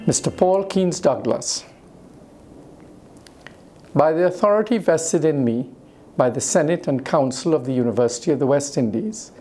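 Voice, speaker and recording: A woman making a solemn, deliberate formal pronouncement at a graduation. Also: sits low, expressive, warm tone, slight background noise. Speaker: university chancellor conferring degrees.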